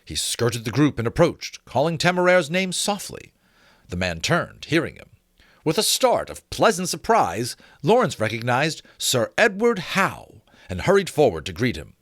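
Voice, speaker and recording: Very expressive male storyteller, dry recording. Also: on the high side, normal speed, no echo. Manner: theatrical, expressive. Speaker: narrator, book reader. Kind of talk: dramatic storytelling, scripted narration.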